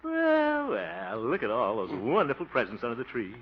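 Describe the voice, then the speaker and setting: Self-important jolly fellow, animated swoops, blustery chuckle, 1950s radio comedy, faint hiss, dull sound. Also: male voice, mid-range voice, normal speed. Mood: jovial, boastful. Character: jovial, self-important grown son, club leader. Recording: muffled, hissy 1950s radio recording.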